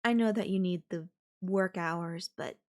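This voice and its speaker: Slow-talking, possessive yandere girlfriend acted by a woman. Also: fairly low pitch, a little mellow. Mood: doting, wistful. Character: possessive, doting long-distance yandere girlfriend, young woman. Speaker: voice actor in audio roleplay. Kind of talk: intimate girlfriend audio roleplay, one-sided voice call.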